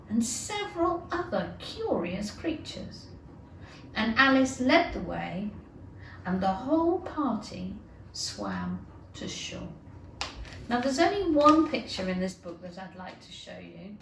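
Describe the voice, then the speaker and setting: A woman reading a children's story aloud like a teacher, with careful, expressive storytelling. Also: medium pitch, creaky. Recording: roomy, distant, noisy amateur recording.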